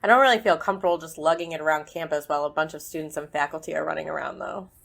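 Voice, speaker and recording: A woman's voice: low voice, steady tone, very fast, vocal fry, fairly bright tone, full character voice. A woman playing a busy, enthusiastic academic scientist in an audio drama, chatty and candid. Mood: harried, chatty.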